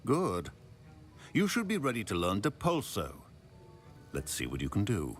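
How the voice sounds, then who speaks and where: A stern professor of magic in a video game holds forth in a low voice that swings expressively up and down. He is measured and instructive, with a dry, wry wit. Music plays clearly behind him. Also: wildly swooping pitch. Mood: brisk, businesslike. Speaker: teacher character in a video game. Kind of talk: scripted video game dialogue.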